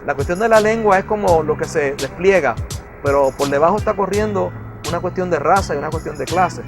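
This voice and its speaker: A man speaks in a slightly high voice with academic precision and emphatic stress. Music plays softly under his words. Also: a little fast. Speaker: interviewee. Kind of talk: documentary interview.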